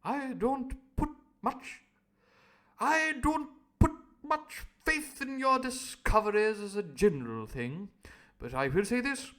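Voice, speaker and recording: A prim gentleman doctor with a posh English accent, drawing out each fussy word, played by a man. The voice is high and bright. He sounds measured and proper. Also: expressive, heavily acted. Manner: slow and fussy, drawn out.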